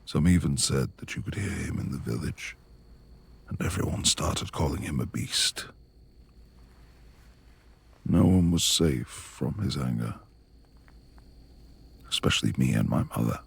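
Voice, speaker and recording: Man playing a very deep, gravelly brooding manor lord. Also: lively intonation, heavily acted. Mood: somber, confessing. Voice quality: gravelly, husky, breathy. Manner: formal, hushed, halting. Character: reclusive brooding male manor lord, tender beneath gruff exterior. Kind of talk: intimate ASMR romance audio roleplay.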